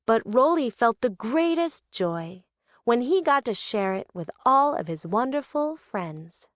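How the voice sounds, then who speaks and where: Casting: female story narrator for young children, very lively, measured pace, dry studio sound. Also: a little high, no room sound. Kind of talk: expressive read-aloud storytelling.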